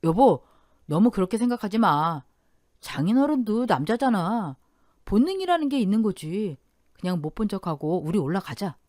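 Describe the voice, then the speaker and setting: A woman reads the part of a mild-mannered husband who talks carefully and soothingly. The voice is fairly high with wide pitch movement, recorded dry and close. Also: big pitch swings, normal speed, a little mellow, no room sound. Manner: soothing, cautious. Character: gentle husband, young to middle-aged man.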